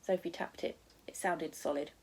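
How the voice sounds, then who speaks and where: A woman reading a children's storybook aloud as a teacher, in expressive narration with the odd corrected stumble. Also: low-pitched, moderate pace, vocal fry, husky.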